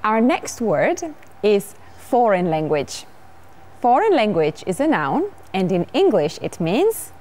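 A woman's voice: medium pitch, sing-song, slow pace, a little mellow. A young woman from Georgia with a Georgian accent, co-hosting a televised English lesson in a studio, cheerful and laughing often. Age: young adult. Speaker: English teacher co-host. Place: TV studio set.

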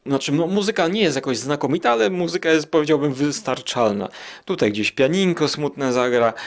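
A man hosting a podcast, reviewing things in a casual, hesitant and digressive way. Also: medium pitch. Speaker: podcast host.

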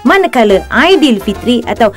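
A woman's voice: fairly high pitch, very animated, moderate pace, faint music behind. A woman voicing a cheeky, boastful young boy in a cartoon, a know-it-all with a Singaporean Malay accent. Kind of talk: cartoon voice acting.

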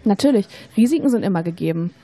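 A young woman playing an informed, persuasive student in a scripted educational audio drama, explaining things in a measured, rehearsed way. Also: mid-range voice, lively intonation, dark tone, close to an everyday voice. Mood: matter-of-fact, explanatory. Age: young adult. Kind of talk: scripted educational radio-play dialogue.

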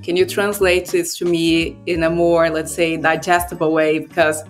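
A woman's voice: on the low side, moderate pace, faint music behind. A young woman whose first language is Brazilian Portuguese, chatting casually on a video call from home about her work tools. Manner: relaxed, conversational, frequent "like" fillers. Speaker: developer relations guest. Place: home room.

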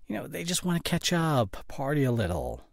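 A man's voice: on the high side, huge pitch swings, a little fast, creaky, dry recording, clean studio sound, full character voice. A man playing a forgetful, dismissive young gamer boyfriend in an audio roleplay, with a casual, slangy, coaxing way of talking. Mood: coaxing, evasive. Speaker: voice actor.

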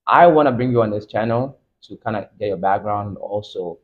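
Low-voiced man, thin phone-like sound. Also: normal speed. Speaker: interviewer, channel host. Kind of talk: casual interview. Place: furnished room, seated in armchairs.

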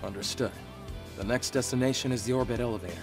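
A man voicing a practical scout from a video game, giving calm mission briefings. His voice is medium-pitched, with clear music underneath. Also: fast talker, natural voice. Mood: focused, calm. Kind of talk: cinematic video game dialogue.

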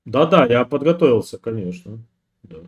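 A man's voice: mid-range voice, sing-song, moderate pace, lo-fi sound. Terse questioning male streamer. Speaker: streamer host. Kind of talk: casual chat. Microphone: headset.

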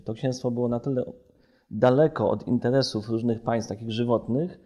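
A man's voice: medium pitch, dark tone. A man speaking as an analyst in an interview, explaining with many qualifications and self-corrections. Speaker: policy analyst and report author.

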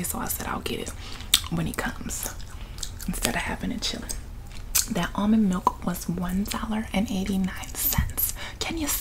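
A young woman talks in a soft whisper, rambling in a loose, chatty way and laughing now and then. Her pitch sits in the middle. She is in a living room, facing a camera close in front of her. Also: low-quality recording. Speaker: ASMR vlogger. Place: living room with couch, indoors.

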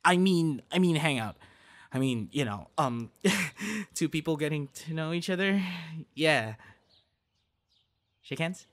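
A man's voice: a little high, expressive, a little slow. Man acting a friendly, coaxing young guy. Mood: flustered, excited. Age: young adult. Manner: earnest, coaxing, sighs and breathy reactions. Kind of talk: ASMR audio roleplay, one-sided dialogue to listener.

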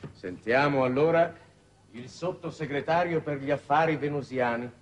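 An actor playing a grave, authoritative foreign minister with formal, measured oratory in a 1960s Italian television drama. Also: male voice, mid-range voice, slight background noise, close to an everyday voice. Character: grave, authoritative foreign minister chairing a space war council. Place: television studio set. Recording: dated 1960s television soundtrack.

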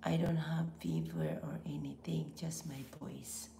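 A middle-aged woman with a Filipino accent, hoarse from laryngitis, hosting a casual live stream from home with slow, half-whispered greetings. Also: rumbling low, very animated, mellow, reverberant, slight background noise. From Philippines. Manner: breathy, half-whispered, slow with frequent pauses and throat clearing. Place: small home office room indoors.

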